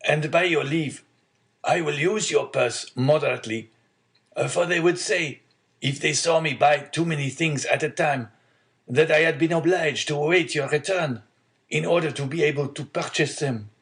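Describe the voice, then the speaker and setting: A man voicing a frail, gentle old father in an audiobook story, meek and halting as he placates. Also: a little high, voice pushed up. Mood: gentle, placating.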